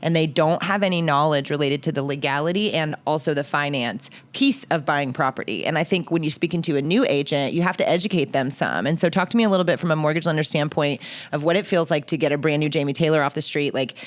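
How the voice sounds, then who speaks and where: Fast-talking American woman hosting a podcast. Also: low-pitched. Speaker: podcast host. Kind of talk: casual interview chat.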